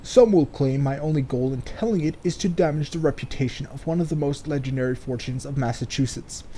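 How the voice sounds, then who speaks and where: A man's voice: mid-range voice, a little fast, mellow, slight background noise. A man doing casual game commentary and reading game text aloud, sardonic and free with swearing. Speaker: let's player.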